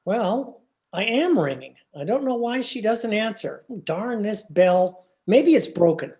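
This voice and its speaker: A long-suffering husband from a radio comedy, played by a man. He is dry and exasperated, with a mellow tone and unhurried speech. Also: high voice, expressive. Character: weary, exasperated husband, mild-mannered. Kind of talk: old-time radio comedy acting.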